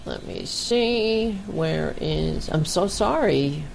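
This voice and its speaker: A woman with a very low, deep voice hosts a casual online show over a video call. She talks bluntly and swears freely. A faint hiss of background noise sits under her voice. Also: lively intonation, slow, creaky, poor audio. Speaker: podcast host. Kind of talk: casual livestream chat.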